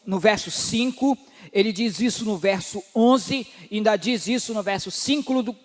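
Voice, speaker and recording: A man in his forties preaching a sermon as pastor, building to emphatic rises and dropping low again. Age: 40s. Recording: long hall reverb.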